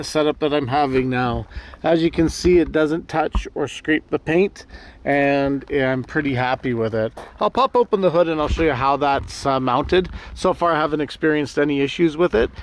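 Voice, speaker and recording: Casual man with a slightly high-pitched voice. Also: slight background noise, low-quality recording. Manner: chatty, rambling, addresses viewers as guys and gang. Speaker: host of a car gear video series. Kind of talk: casual vlog product review.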